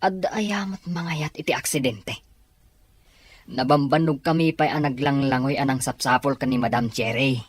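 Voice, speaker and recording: A man's voice: medium pitch, normal speed, slightly rough, dry recording, lightly acted. An actor with an Ilocano accent playing an evasive man under questioning in a radio drama. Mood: defensive.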